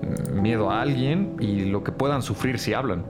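A man's voice: mid-range voice, moderate pace, natural voice. A man playing a pensive, methodical police lab analyst who reasons aloud in a scripted audio drama. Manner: thinking aloud, explanatory. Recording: loud music bed behind voice.

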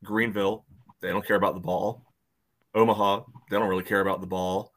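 A man's voice: deep voice, little pitch movement, brisk, a bit bright. A man on a casual sports podcast panel, speaking in a blunt, matter-of-fact way. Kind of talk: casual soccer discussion.

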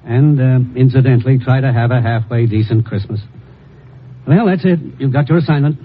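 A man playing a brisk, authoritative commissioner in a vintage radio drama, matter-of-fact and firm. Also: mid-range voice, natural voice. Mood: briefing. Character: brisk, authoritative male commissioner handing out assignments. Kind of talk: 1950s radio drama acting. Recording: tinny old radio broadcast, faint noise.

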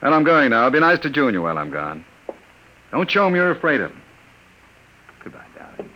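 An actor playing a smooth-talking, controlling, cold-blooded crook in an old radio drama, suave and menacing, on a vintage recording. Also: male voice, mid-range voice, sing-song, brisk, pushed and bright, a little hiss or hum, poor audio. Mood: seductive, controlling.

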